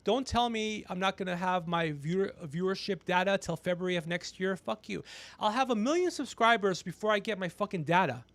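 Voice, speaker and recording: High-pitched, gravelly man in his fifties. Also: brisk, a bit bright, no echo. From Persian background. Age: 50s. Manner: brash, ranting, swears freely. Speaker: podcast host on a livestream. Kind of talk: casual profane livestream chat.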